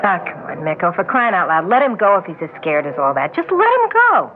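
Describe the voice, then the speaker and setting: An actress playing a tough, taunting young waitress, sardonic and slangy, in mid-century radio drama style. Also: female voice, fairly low pitch, very animated, a little fast, noisy background. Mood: dismissive. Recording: tinny old radio recording with music.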